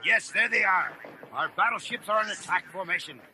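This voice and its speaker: A man playing an excitable, scheming chairman in a space adventure audio drama, theatrical in manner. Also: high-pitched, a little fast, heavily acted. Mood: excited. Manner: flustered, theatrical. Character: scheming chairman, excitable villainous traitor to the Emperor.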